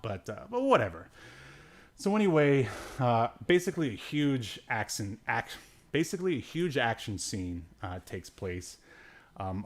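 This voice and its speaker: A man hosting a podcast, recapping in casual chat with a rambling, jokey, irreverent manner and offhand, slangy phrasing. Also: mid-range voice. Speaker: podcast host.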